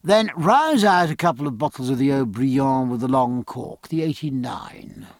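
A man's voice: mid-range voice, expressive, moderate pace, dry recording, clean studio sound, lightly acted. A man voicing a jovial, teasing British naval captain with bluff, exclamatory, old-fashioned speech. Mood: hearty.

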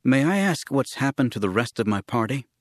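Uneasy male ambassador, read by a man: diplomatic, earnest, careful, animated delivery, dry studio sound. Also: mid-range voice, fairly quick, dry recording, lightly acted. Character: nervous, polite male ambassador, an ordinary man bluffing.

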